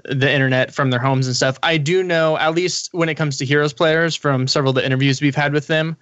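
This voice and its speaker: A man with a slightly higher-pitched voice talks as a podcast panelist. He explains things in a loose, rambling, conversational way, recorded dry. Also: fairly even intonation, brisk, a bit bright, no echo. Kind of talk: casual chat about gaming and esports.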